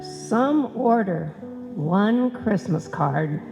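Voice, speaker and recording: Woman acting a sardonic friend, slow, echoey. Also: mid-range voice, expressive, gravelly, music in the background, heavily acted. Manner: sardonic, practical. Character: skeptical, sardonic woman business partner. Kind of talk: live old-time radio comedy acting. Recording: reverberant hall stage recording.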